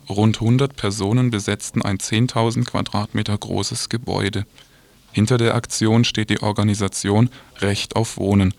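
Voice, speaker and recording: A man's voice: on the low side, no room sound. A man reading a scripted radio news report.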